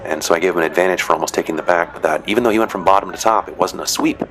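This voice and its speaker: A man's voice: sits low, rapid-fire, background noise. A man casually narrating footage of matches he refereed, chatty and rambling with dry joking asides. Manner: rambling, chatty, frequent "you know" and "uh". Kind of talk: casual commentary.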